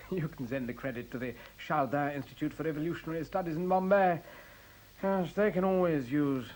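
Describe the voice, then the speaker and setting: A man's voice: on the high side, a little fast, a little mellow, lightly acted. A man playing a sceptical, wry biologist in a radio play, questioning with precise words.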